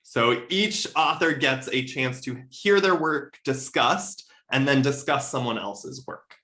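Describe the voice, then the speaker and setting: Bright-voiced man chatting about his podcast. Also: medium pitch, slow pace. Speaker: podcast co-host appearing as guest. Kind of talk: casual interview conversation.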